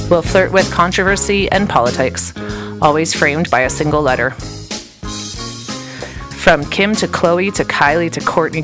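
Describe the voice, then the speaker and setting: A woman co-hosting a casual podcast, chatty and opinionated, often laughing between thoughts. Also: sits low. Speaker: podcast co-host. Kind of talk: casual chat.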